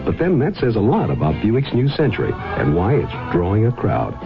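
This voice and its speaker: Low, lively male advert announcer. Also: normal speed. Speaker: commercial announcer. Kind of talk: scripted 1970s radio advert. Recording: dull radio recording, loud music bed.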